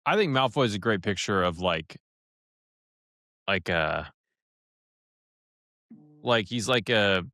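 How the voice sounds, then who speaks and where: A man co-hosting a casual podcast, relaxed and opinionated, often restarting his sentences. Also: on the low side, takes it slowly, long pauses, dry recording, clean studio sound. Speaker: podcast co-host.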